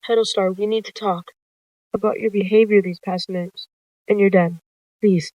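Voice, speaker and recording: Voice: mid-range voice, fairly even intonation, normal speed, dry recording, close to an everyday voice. Narrator acting male warrior cat, second-in-command, pressing, confrontational, dry muffled recording. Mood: frustrated, hurt. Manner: insistent, confrontational. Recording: dull lo-fi close dry recording.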